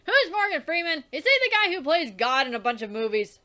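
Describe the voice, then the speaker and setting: A young woman with a very high, bright voice reads a webcomic aloud in a theatrical way, her pitch jumping all over the place. She swears freely and drifts into offhand, rambling asides as she hosts a let's play. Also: big pitch swings, fairly quick. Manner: theatrical reading, swears and trails off. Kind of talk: reading a webcomic aloud with commentary.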